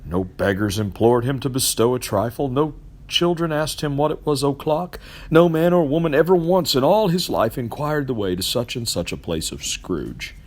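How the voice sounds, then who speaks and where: A middle-aged man narrates literary fiction as an expressive storyteller, with emphatic, theatrical and deliberate phrasing. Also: medium pitch. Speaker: storybook reader.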